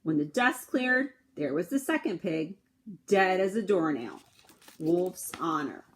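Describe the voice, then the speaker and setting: A woman's voice: on the low side, lively intonation, a little hiss or hum. A woman voicing an aggrieved wolf who excuses himself in a folksy, insistent way, reading a children's picture book aloud. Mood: matter-of-fact. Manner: folksy, insistent, drawn-out emphatic storytelling. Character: aggrieved, self-excusing wolf telling his side of events.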